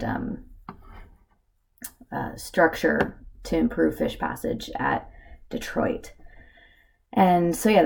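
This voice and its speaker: A woman with a hoarse voice from a cold gives a casual narrated slideshow presentation, with lots of filler words. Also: deep voice, a little mellow. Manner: casual, with many filler ums. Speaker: student presenter.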